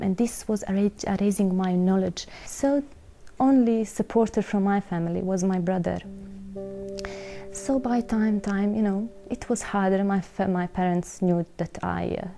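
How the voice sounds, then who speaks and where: Woman, Serbian accent, non-native English, personal testimony, halting earnest delivery, mid pitch, strong music bed. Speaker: interview guest telling her conversion story. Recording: music behind the voice.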